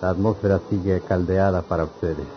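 An actor playing a kindly, concerned village priest in an old-fashioned radio serial, measured and paternal. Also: male voice, sits low, steady tone, lo-fi sound, background noise, natural voice.

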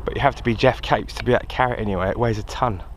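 British male hobby vlogger, lowish voice, chatty and rambling, on an action camera in a garden with faint background noise. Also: rapid-fire. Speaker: amateur radio hobbyist and video host. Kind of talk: casual hobby vlog chat. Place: outdoors in a garden. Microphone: handheld action camera.

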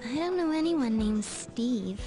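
A coy, willful young woman in a video game, high-pitched and lilting. She teases with very lively swings of pitch over clear background music. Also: unhurried. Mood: puzzled. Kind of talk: video game cutscene voice acting. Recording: music under dialogue.

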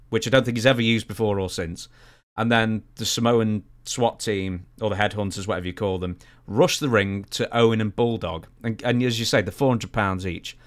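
A man in his twenties with a fairly low voice co-hosts a podcast. He speaks casually into a close studio microphone, moving between chat and reading out questions. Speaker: podcast co-host. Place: small indoor home studio. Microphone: close boom-arm studio mic.

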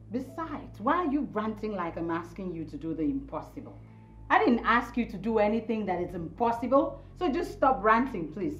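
An actress playing a haughty, cold, demanding young girlfriend in a film drama, imperious and scolding. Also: fairly low pitch. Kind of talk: Nollywood-style film drama acting. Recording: echoey room, muffled, faint music.

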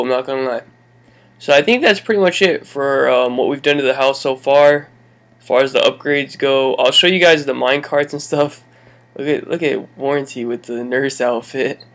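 A young man hosting his own gaming video, casually walking viewers through builds with a rambling, laid-back delivery full of fillers. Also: medium pitch. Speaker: gaming channel host. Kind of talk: casual game walkthrough commentary.

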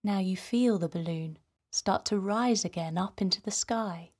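A woman hosting a guided meditation for children, speaking in a gentle, soothing, softly breathy way. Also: mid-range voice, a little slow. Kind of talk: guided relaxation narration for children.

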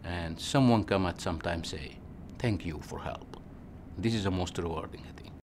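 Low-voiced Egyptian man, halting. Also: background noise. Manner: unhurried, halting, with restarts and "you know". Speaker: physician. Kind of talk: casual interview answers.

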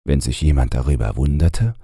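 A man narrating fairy tales as a scripted audiobook, in a measured, expressive storytelling manner. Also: very deep voice, vocal fry, husky, no echo, studio quality.

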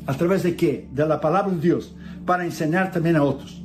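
A man's voice: a little high, brisk. Man preaching earnestly over faint music. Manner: earnest preaching, reads Bible verses aloud in solemn cadence. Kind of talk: sermon.

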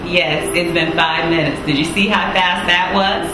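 A woman's voice: lowish voice, fairly even intonation, measured pace, background noise. A woman hosting a cooking demonstration in a kitchen, talking in a chatty, folksy way as she walks viewers through each step. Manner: chatty, folksy, repeats "gonna go ahead". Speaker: cooking show host. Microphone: distant mic. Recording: echoey distant room with loud music. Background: loud music.

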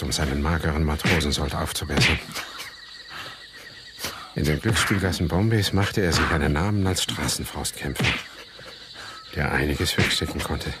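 A man's voice: deep voice, very noisy, close to an everyday voice. An actor playing a reflective grown son, narrating his life in literary style in a German radio play.

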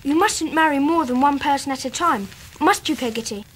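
A woman's voice: high voice, a little fast, slight background noise, close to an everyday voice. A woman playing a curious, earnest little English boy in a radio drama.

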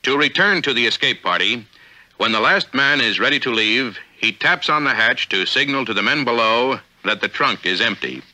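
Man, American accent, 1950s training-film narration, very bright forceful voice, authoritative, measured, thin vintage soundtrack. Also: medium pitch, lively intonation, poor audio.

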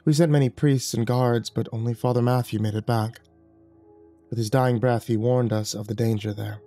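A man voicing a gentle, solemn archangel who speaks softly and calmly. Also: mid-range voice, moderate pace, faint music behind, voice pushed down, natural voice. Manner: soft and calm.

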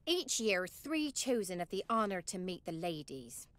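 Solemn, swooping-voiced village attendant. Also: female voice, on the low side, sing-song, moderate pace, a bit bright, no echo. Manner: formal, ritual, stern. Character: solemn village attendant speaking for an old seeress.

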